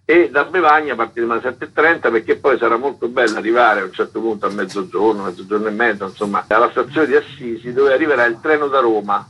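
A man's voice: on the low side, a bit bright, a little hiss or hum, rough recording. A man explaining things informally as an interviewed organiser, in a rambling, colloquial way. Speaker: event organiser being interviewed.

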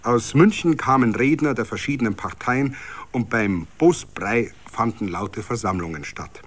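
A man's voice: mid-range voice, a little slow. A man narrating a literary story for radio.

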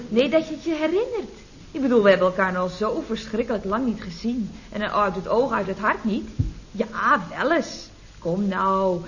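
An actress playing a chatty, sharp-tongued office secretary in a radio comedy serial, exclamatory and dryly cheeky. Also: female voice, on the high side. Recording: muffled, noisy vintage radio recording.

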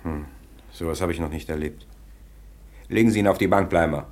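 An actor playing a commanding police chief who gives curt orders in a radio play. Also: male voice, sits low, a little fast, a little mellow, lightly acted.

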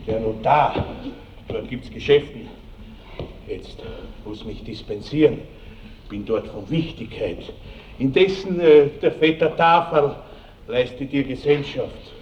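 An actor playing a pompous, lecherous baron with an Austrian accent and florid old-fashioned phrasing, in the stagey manner of 1960s radio drama. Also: male voice, mid-range voice, slow pace, pauses often, far from the mic, lightly acted. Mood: self-important, brisk. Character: pompous, lecherous baron, self-satisfied suitor. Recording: dull, roomy, slightly noisy vintage radio recording.

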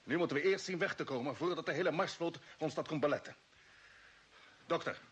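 An actor playing a decisive spaceship captain in a radio drama, giving orders with brisk authority. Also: male voice, a little high, slightly rough. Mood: commanding.

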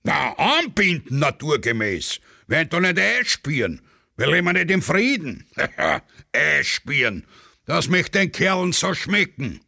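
Ein Mann spielt einen prahlerischen, plaudernden Offizier mit österreichischem Akzent. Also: male voice, a little high, sing-song, unhurried, creaky, pushed and bright, dry recording, clean studio sound, heavily acted. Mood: jovial boasting. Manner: chatty, bragging, dialect-heavy. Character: boastful Austrian army officer, gossiping.

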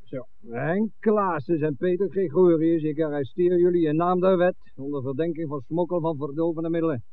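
An actor playing a commanding village policeman in a radio play, brisk, curt and official. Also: a little high, natural voice. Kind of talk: 1960s Dutch radio play acting. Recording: narrow, muffled 1960s radio recording.

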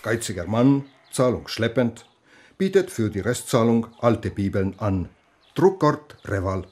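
A man's voice: fairly low pitch, very animated, measured pace, darkish tone, husky, exaggerated voice. A man acting a dry, courteous Estonian police inspector in a German radio drama. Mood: matter-of-fact.

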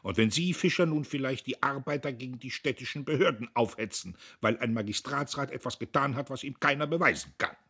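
A man voicing a blustering, pompous and anxious middle-aged factory owner, emphatic and then ingratiating, with a husky voice. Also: mid-range voice, fairly quick, hardly any pauses, a bit bright, voice pushed up. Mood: indignant. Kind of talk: audiobook character voice.

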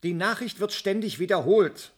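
Male scientist, sci-fi radio drama: high voice, swooping intonation, precise and insistent. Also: animated, normal speed. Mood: urgent, insistent. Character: male space-centre scientist, insistent bearer of alarming news. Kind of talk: German radio play acting.

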